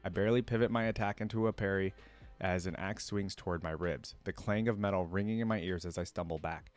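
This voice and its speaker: Low-voiced man reading and reacting. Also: quick pace, faint music behind. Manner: casual, expressive, interjects own reactions. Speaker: reaction video host. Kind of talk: reading a story aloud with off-the-cuff fan reactions.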